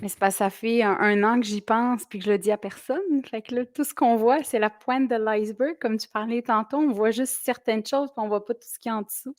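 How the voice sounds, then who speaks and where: A woman's voice: fairly high pitch, fairly even intonation, brisk, hardly any pauses, a little mellow, no room sound, clean studio sound. A woman in her forties from southwestern France, with a mixed accent carrying Québécois traces, chatting as a guest and laughing easily. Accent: French with Québécois traces. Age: 40s. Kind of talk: casual interview chat. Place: small studio.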